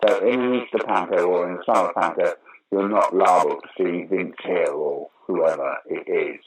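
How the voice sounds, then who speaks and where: An older man with a low-pitched, rough-edged voice. He talks conversationally as an interview guest, telling anecdotes with dry wit. He states his opinions firmly and repeats phrases for emphasis. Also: moderate pace, low-quality recording. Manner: wry, opinionated, emphatic repetition. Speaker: interview guest, actor, writer and director of pantomime.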